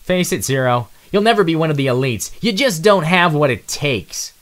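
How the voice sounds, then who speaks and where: A man's voice: fairly high pitch, big pitch swings, normal speed, fairly bright tone, pitched above the performer's own voice. A man voicing a smug, cocky mouse squad captain who taunts his rival in a dramatic storybook reading. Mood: mocking.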